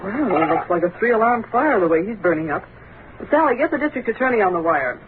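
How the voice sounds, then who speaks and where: A woman acting a brisk, sardonic newspaper secretary in an old radio drama. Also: medium pitch, big pitch swings, close to an everyday voice. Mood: wry. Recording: tinny, noisy old radio recording.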